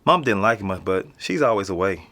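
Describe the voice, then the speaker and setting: A nonchalant, low-voiced American teenage boy. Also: expressive, brisk, pushed and bright. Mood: offhand. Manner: casual, slangy, offhand. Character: nonchalant streetwise teenage boy living in an apartment building. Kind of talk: naturalistic audio drama interview.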